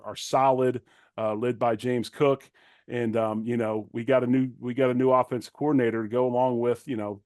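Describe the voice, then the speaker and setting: A middle-aged man speaks as a guest on a sports show, in an informal and plain-spoken way. His voice sits at an ordinary male pitch, and the sound is dry with very little room in it. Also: a little mellow, no echo. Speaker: guest, former football player turned sports commentator. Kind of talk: conversational sports analysis.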